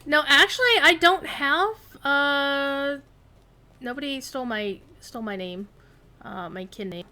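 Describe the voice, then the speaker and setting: A woman's voice: high-pitched, measured pace, pushed and bright. An American woman hosting a livestream of an online game, chatting casually with viewers and fellow players. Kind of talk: casual live gaming chat.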